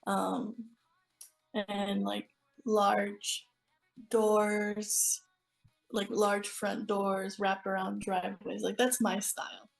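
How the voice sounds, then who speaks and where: A woman from Florida speaks as an interview guest. Her voice sits at a medium pitch and her answers are casual and conversational. There is faint music in the background. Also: completely flat delivery, drawn-out words, gravelly. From Florida, United States.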